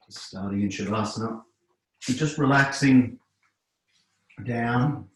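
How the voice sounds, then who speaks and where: A man teaching yoga, guiding relaxation and breathing in calm, unhurried phrases with long pauses. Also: lowish voice. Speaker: yoga instructor. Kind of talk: guided yoga relaxation instruction. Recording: very echoey room.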